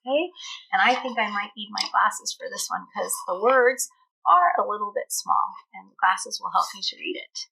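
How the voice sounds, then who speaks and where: A woman teacher reading a storybook aloud to young children, pausing to explain and ask questions. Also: medium pitch, expressive, vocal fry, sharp tone, husky, poor audio. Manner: explanatory, pauses to question the listeners.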